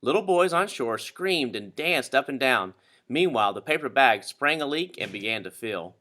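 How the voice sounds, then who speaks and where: A man reading a children's story aloud with expressive, dramatic narration. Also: mid-range voice, normal speed. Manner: dramatic, animated. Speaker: storybook reader.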